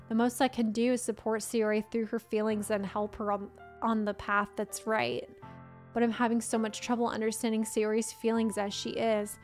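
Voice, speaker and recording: A hoarse woman reading aloud the inner thoughts of a caring, worried teenage boy from a game. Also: fairly high pitch, close to an everyday voice. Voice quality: plain read-aloud delivery.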